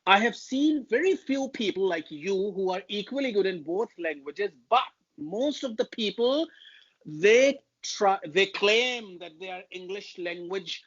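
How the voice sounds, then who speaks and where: A man's voice: high-pitched, animated, a bit bright, lo-fi sound. A man with a Pakistani accent, a native Urdu speaker, talking as an interview guest about public speaking and laying out his points one by one. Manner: measured, emphatic, lists points. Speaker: guest linguist.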